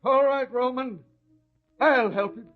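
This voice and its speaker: An actor playing a gruff soldier in a radio drama, answering curtly. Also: male voice, very high voice, slow pace, soft background music. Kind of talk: vintage radio drama acting. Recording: tinny phone-like radio drama audio.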